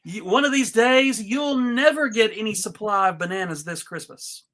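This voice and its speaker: A man's voice: high voice, expressive, moderate pace, a bit bright. A man voicing a scolding zookeeper chasing a naughty animal in a cartoon script.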